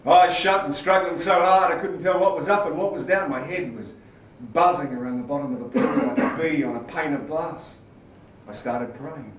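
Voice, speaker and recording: Man acting intense, high-pitched haunted sailor, echoey stage. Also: moderate pace, lightly acted. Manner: dramatic, intense storytelling with sudden outbursts. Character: mad, haunted sailor confessing a childhood near-drowning. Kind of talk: theatrical stage monologue. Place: theatre stage. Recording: echoey theatre, distant mic, faint noise.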